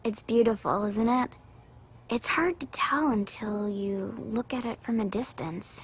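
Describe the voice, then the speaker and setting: A woman's voice: a little high, unhurried, slightly rough. A woman playing an earnest, perky young female companion in an English-dubbed video game cutscene. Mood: gentle.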